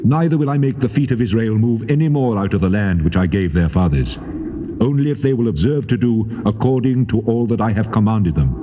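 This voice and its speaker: A man's voice: on the low side, lively intonation, a little fast, a little hiss or hum. An actor playing God as a solemn, commanding male deity in a dramatized scripture reading, his voice set in reverb. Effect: long reverb. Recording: muffled cassette with long reverb.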